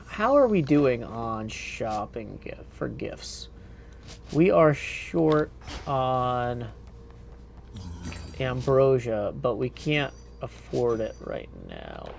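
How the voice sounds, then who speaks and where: A man's voice: mid-range voice, very animated, slow, vocal fry, a little mellow, a little hiss or hum. A man livestreaming a game in a casual, easygoing way, often thinking aloud as he goes. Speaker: game streamer.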